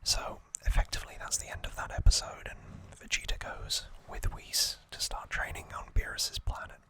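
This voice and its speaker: Casting: ASMR host, breathy whisper throughout, crisp bright hiss, gentle informal storytelling. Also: rough recording. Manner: gentle, unhurried, informal recapping.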